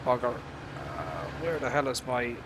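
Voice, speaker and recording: Halting Irishman over vehicle noise. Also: medium pitch, big pitch swings. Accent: mild Irish. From Ireland. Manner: halting, rambling, casually sweary. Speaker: game streamer. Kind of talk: casual live gaming commentary.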